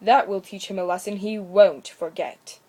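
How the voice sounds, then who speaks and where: A woman's voice: medium pitch, steady tone, normal speed, a little mellow, natural voice. A woman voicing a grim, stern male woodcutter in a storybook, speaking firmly and emphatically.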